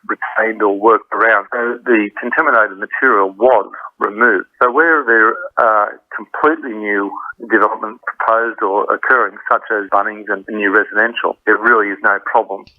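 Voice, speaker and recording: Low Australian man on phone line. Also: steady tone, normal speed, dry recording. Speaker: member of parliament. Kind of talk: spoken interview soundbite. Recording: tinny, narrow phone line.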